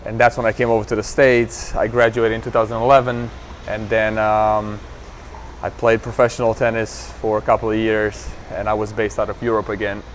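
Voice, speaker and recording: A man's voice: lowish voice, monotone, a little fast. A man in his thirties from Romania, answering questions in a casual interview in an easygoing, modest way. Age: 30s. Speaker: interview guest, professional pickleball player. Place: outdoors at a tournament venue. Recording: outdoor urban setting with faint background noise.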